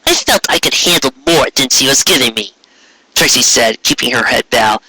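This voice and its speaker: Bright-voiced man reading a story aloud. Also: medium pitch, lively intonation, moderate pace, husky, no echo, low-quality recording. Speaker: fan fiction reader. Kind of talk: animated storybook narration with brief asides.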